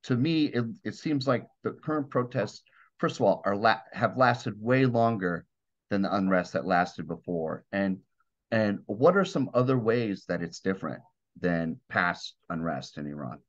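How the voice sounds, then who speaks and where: A man's voice: on the low side, expressive, unhurried, highs cut off. A man hosting a podcast, introducing a guest and asking questions with a few stumbles. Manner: friendly, slightly stumbling questions. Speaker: podcast host.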